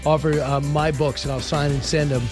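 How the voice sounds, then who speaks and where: A male radio host talking briskly and conversationally, giving opinions and taking calls. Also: a little high, flat delivery, music in the background. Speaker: radio talk show host. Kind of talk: talk radio.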